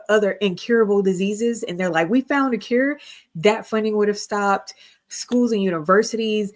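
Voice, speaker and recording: A woman co-hosting a casual live-stream discussion, explaining earnestly and checking her notes as she goes. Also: medium pitch. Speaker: co-host.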